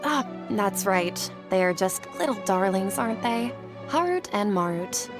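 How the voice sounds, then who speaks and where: A woman's voice: mid-range voice, animated, unhurried, close to an everyday voice. A woman voicing a polite, chatty, candid working woman in a video game, speaking conversationally. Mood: friendly, puzzled. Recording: music behind the voice.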